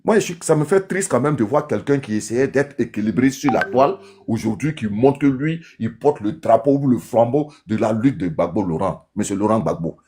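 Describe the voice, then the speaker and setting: A man with a husky voice talks insistently in a heated online debate. He presses his point and cuts in on the other speaker. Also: mid-range voice, expressive, moderate pace, few pauses, mellow. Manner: insistent, interrupting. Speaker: debate participant.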